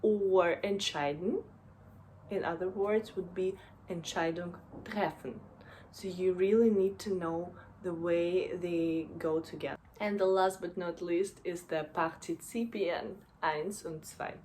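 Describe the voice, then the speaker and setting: A young woman walks through grammar points in a relaxed, slow manner, often pausing on fillers and checking in with "right?". Her voice sits a little low, and faint background noise is heard under it. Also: poor audio. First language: Russian. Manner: unhurried, with fillers and frequent "right?". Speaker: German language teacher. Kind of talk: casual explainer lesson.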